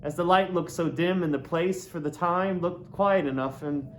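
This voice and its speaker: A man reading a classic novel aloud in a deliberate, dramatic way, lingering on words. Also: a little high, slight background noise. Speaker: audiobook reader. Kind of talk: literary narration, theatrical reading of a classic novel.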